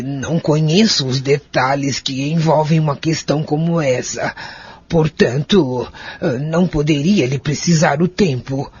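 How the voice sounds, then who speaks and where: A man acting a patient, devout friend who gives spiritual counsel in a Brazilian Portuguese audio drama, measured and gently instructive. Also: on the high side, slightly rough, exaggerated voice. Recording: dull, muffled audio.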